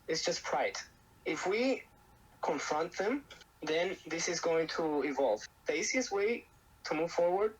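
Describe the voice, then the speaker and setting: A man talking through a business dispute in casual conversation. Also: on the high side. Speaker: real estate wholesaler. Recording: dull, noisy laptop-camera recording.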